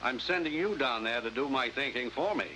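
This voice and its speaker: An authoritative American commissioner in a vintage 1950s drama. His voice is bright and forceful, the delivery brisk and dry, all business. A faint layer of noise sits on the old recording. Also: medium pitch, expressive, rough recording. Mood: brisk, businesslike.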